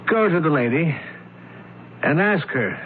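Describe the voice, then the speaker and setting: An actor playing a skeptical, measured man in a radio drama, questioning an informant. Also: on the high side, sing-song. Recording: tinny vintage radio broadcast, faint noise.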